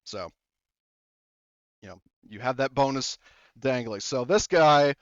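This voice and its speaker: A man's voice: medium pitch, animated, slow, smooth, dry recording. A man running a tabletop game as game master, talking in a casual, rambling way with many fillers. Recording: dull dry lo-fi mic.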